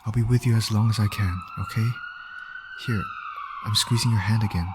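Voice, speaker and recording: A man's voice: fairly low pitch, steady tone, fairly quick, heavy background noise, natural voice. A man acting a caring field medic in an ASMR roleplay, speaking directly and reassuringly to an injured patient. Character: male field medic, calm, caring, urgent under pressure.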